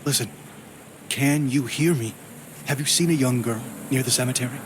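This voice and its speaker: An actor playing a distressed, brooding man in a video game who searches for his missing sister, speaking gravely and formally. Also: medium pitch, normal speed, noisy background, close to an everyday voice. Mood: bewildered, urgent. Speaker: game protagonist and narrator. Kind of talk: dramatic video game voice acting.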